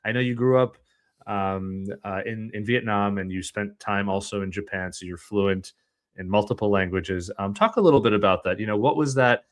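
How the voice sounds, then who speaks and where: A man hosting an interview show, his voice sitting on the low side. He asks long, winding questions, restarting and circling back out of curiosity. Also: moderate pace. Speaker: podcast host.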